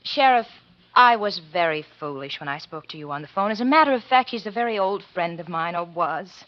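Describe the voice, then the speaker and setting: An actress playing an anxious, evasive married woman with an earnest, halting delivery in 1940s radio melodrama style. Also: lowish voice, lively intonation, close to an everyday voice. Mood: uneasy. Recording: dull old 1940s radio recording.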